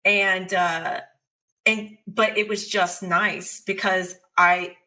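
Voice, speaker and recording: A woman talking casually and candidly about personal experience, telling it as an animated story and voicing her inner dialogue. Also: mid-range voice, takes it slowly. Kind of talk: casual, confessional conversation.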